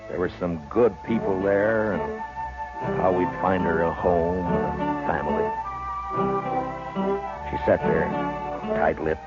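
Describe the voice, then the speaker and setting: An American actor playing a stern, calm Old West marshal in a 1950s radio western, measured and laconic. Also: male voice, deep voice. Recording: dull old radio recording, music behind.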